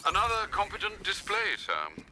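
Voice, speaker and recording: An actor playing a loyal older butler in a video game, formal, articulate and dryly deferential. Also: male voice, high voice, wildly swooping pitch, moderate pace, pushed and bright, slight background noise, full character voice, low-quality recording. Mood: briskly informative. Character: courteous, dry, loyal older male butler assistant. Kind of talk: video game cutscene acting.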